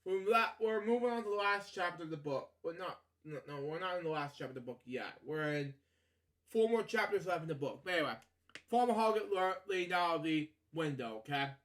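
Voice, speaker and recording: A man's voice: fairly high pitch, animated, a bit bright. A man reading a children's story aloud as host, stumbling and repeating himself, with rambling asides and habitual catchphrases. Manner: halting, stumbling over words, repeating himself; frequent filler catchphrases like "okay" and "you know".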